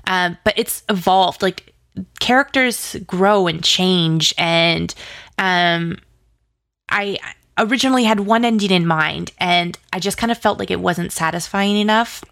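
A woman's voice: fairly low pitch, measured pace, gravelly, fairly bright tone, no room sound, clean studio sound. A woman chatting casually as an interview guest, enthusiastic and gushing, peppering her sentences with "like". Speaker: interview guest, audio drama writer and producer.

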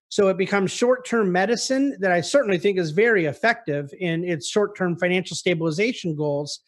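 A man speaking as an investment officer on a conference call, giving articulate, emphatic explanations in answer to investor questions. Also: sits high. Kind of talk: conference call market commentary.

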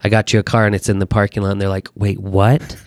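An American man in his thirties chatting casually as a podcast guest, telling personal stories in an animated, self-deprecating way. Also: lowish voice, fast, dry recording. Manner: animated, self-deprecating joking with acted-out quotes. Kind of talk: casual chat, personal storytelling.

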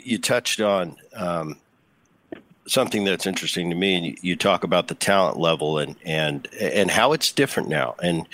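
A man who is a former player hosting a podcast, asking questions in a halting, repetitive, chatty way. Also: deep voice, a bit bright, dry recording. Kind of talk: casual interview chat.

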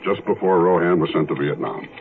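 An actor playing a shrewd, methodical police detective who narrates his case in a measured way in a 1970s radio drama. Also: low voice, a little hiss or hum. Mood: businesslike, determined. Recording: dull 1970s radio recording with music.

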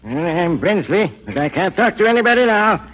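An actor playing a curt, imperious old millionaire in a 1940s radio drama. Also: male voice, high voice, big pitch swings, normal speed, dull audio. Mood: testy, guarded. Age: older. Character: wary, peremptory old millionaire collector in his mansion.

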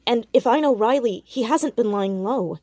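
A woman's voice: on the high side, animated, moderate pace, darkish tone, dry recording, clean studio sound. A woman playing a fearless, sceptical teenage girl sleuth in a naturalistic audio drama, probing bluntly with wry directness. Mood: reasoning, insistent.

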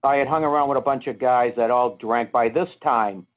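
A man's voice: medium pitch, a little fast. A man in his fifties with a strong Boston accent, telling his story plainly and unhurriedly as an interview guest. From Boston area, USA. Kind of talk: casual conversation. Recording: tinny phone line.

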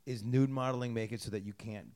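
A man's voice: mid-range voice, fairly even intonation, fast talker, darkish tone. A man hosting a podcast interview, talking in a loose, rambling way with many restarts. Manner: rambling, restarts, swears casually. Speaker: podcast host. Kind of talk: casual interview chat.

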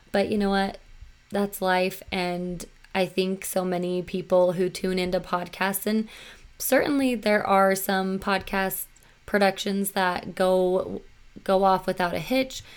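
A woman in her thirties hosting her podcast, slightly hoarse after losing her voice, talking in a chatty, earnest and self-reflective way. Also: lowish voice. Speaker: podcast host. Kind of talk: solo podcast talk.

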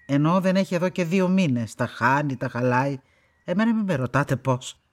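A stern older woman who runs a farm, low-voiced for a woman. She answers in a curt, dismissive way, guarded and plain, in naturalistic radio-play style. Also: animated. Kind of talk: naturalistic radio drama acting.

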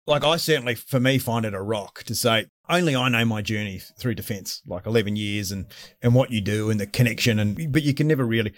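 A man's voice: fairly low pitch, fairly quick, no echo. A man co-hosting a podcast, friendly and affirming as he prompts his guest. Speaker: podcast co-host. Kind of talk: conversational podcast interview.

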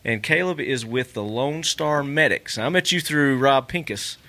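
A man's voice: mid-range voice, animated, normal speed, bright, forceful tone. A man hosting a podcast at a trade show, upbeat and enthusiastic as he interviews a guest. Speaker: podcast host. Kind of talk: casual interview.

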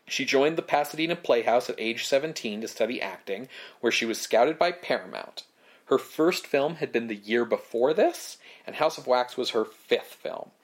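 A man's voice: mid-range voice, moderate pace. Man reading out film history facts. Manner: reads prepared facts, relaxed. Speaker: podcast co-host. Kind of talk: casual film history chat.

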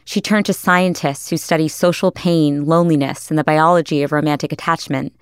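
A woman's voice: sits low, smooth, no room sound, studio quality. A woman podcast host reading a scripted introduction.

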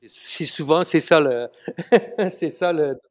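Halting middle-aged Malaysian-born man. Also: a little high. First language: Tamil. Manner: halting, many fillers and restarts, chuckles often. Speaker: chef, interview guest. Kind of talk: casual conversational interview.

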